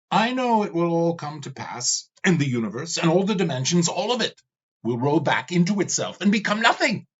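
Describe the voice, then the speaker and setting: A booming, all-seeing demon king, weary of eternity and raging at existence, as a man puts him on. His voice rides well above the reader's own, swinging widely as he drags out each proclamation. The storybook recording sounds dull and lo-fi. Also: a bit bright, breathy edge, heavily acted.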